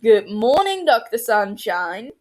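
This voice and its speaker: A bouncy, teasing young female surgeon, voiced by a woman reading a story. She chirps her lines a little higher than the narration, full of cheek and casual swearing. The voice stays close to the reader's own. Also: very animated, slow pace, a little mellow, exaggerated voice.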